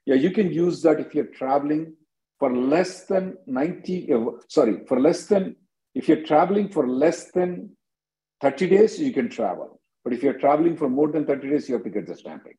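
A man, hosting a call-in as an attorney, briskly answering questions and repeating himself. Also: a little high, animated, warm tone. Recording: dull lo-fi conference call audio.

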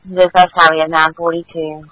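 A man working as a police dispatcher, speaking in brisk, formulaic radio procedure with a businesslike manner. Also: fairly high pitch, little pitch movement, background noise.

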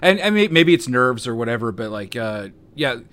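Calm male guest, mid-pitched voice, halting delivery full of fillers. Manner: calm, hesitant, frequent fillers. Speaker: guest, online personality. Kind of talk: casual conversation.

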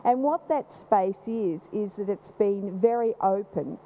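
A woman with a loud voice, speaking from the audience at a panel event, rambling with many restarts. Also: medium pitch, a little slow, slight background noise. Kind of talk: unscripted comment from the floor. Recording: slightly dull live event recording.

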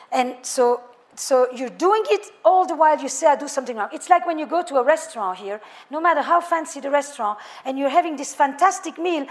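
French-accented woman speaking to an audience. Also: sits high. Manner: thoughtful, lists words in cascades. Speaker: couples therapist and author. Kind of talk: public talk to a live audience.

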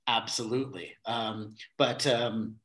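A man being interviewed about his theater career, talking in a halting way with many restarts and repeated words. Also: lowish voice, fairly even intonation, fairly bright tone. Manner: acts out quoted exchanges in a livelier voice. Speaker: theater director, podcast guest. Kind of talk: casual interview conversation.

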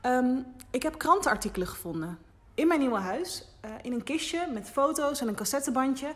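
An actress playing a curious, persistent young woman reporter in a naturalistic audio drama, asking questions in a halting way. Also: high voice, animated, fairly bright tone, slightly rough, exaggerated voice. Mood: hesitant, curious.

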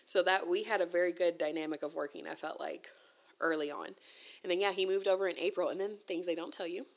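Woman, lowish voice, interview guest, casual storytelling, candid and conversational. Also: steady tone, quick pace, gravelly.

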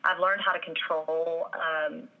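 Low slightly raspy woman, muffled. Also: flat delivery, slow pace. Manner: slightly raspy, many ums and restarts. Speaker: voice actress guest. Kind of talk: interview answers.

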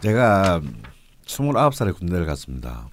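A man's voice: low voice, very animated, normal speed, slight background noise. A man explaining a fortune reading in a conversational radio talk, with an explanatory, emphatic manner. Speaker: fortune-telling (myeongri) commentator.

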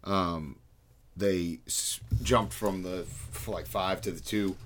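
A man with a very low voice co-hosts a casual sports podcast. He talks in a laid-back, measured way as he breaks down the week's games. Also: fairly bright tone, a little hiss or hum. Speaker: podcast co-host.

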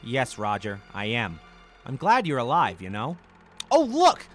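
A man's voice: medium pitch, huge pitch swings, a little slow, soft background music. A man playing an affable, placating buddy and announcer in a comic radio play, coaxing as he goes. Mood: affable announcing. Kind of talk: comic radio play acting, credits reading.

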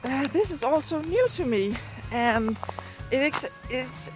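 A woman's voice: high voice, expressive, takes it slowly, soft background music, low-quality recording. A native Danish-speaking woman with a Danish accent, chatting casually in a vlog and hesitating as she searches for words. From Denmark. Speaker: video and podcast creator. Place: outdoors, on a walk. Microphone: handheld device at arm's length.

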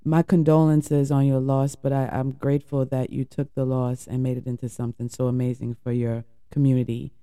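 A man's voice: medium pitch, level tone, fairly quick, few pauses, mellow, smooth, no echo, studio quality. A man hosting an interview, asking polite questions and giving short affirmations. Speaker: host.

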